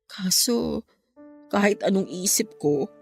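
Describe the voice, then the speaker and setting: A woman's voice: mid-range voice, animated, slow pace, gravelly. A young woman playing a bereaved, heartbroken woman in a Filipino radio drama, speaking in a plaintive, emotional way. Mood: pensive, pained. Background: music.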